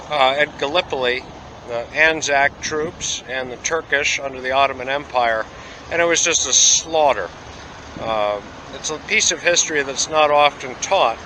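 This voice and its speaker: A man vlogging a travel tour outdoors at a port, talking casually with lots of "uh" pauses. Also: medium pitch, pushed and bright, a little hiss or hum, low-quality recording. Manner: rambling, many "uh" pauses, easygoing. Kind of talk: casual travel vlog narration.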